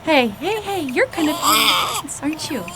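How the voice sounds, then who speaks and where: Young mother, audio drama: a doting, chatty woman, very high swooping voice, recorded amid loud car noise. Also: very animated, moderate pace. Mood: playful, doting. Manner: cooing, chatty. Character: playful, doting young mother cooing to her child.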